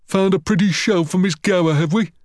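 A male narrator's teenage bully, high-pitched, sneering, taunting, audiobook character, menacing. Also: brisk, darkish tone, no room sound, clean studio sound, lightly acted. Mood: sneering menace. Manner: taunting, growling jeers.

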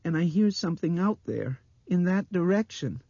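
Man voicing a high, dreamy, frightened little girl. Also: expressive, moderate pace, dark tone, dry recording, lo-fi sound, exaggerated voice. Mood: trembling, insistent. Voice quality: breathy, trembling. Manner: dreamy, insistent.

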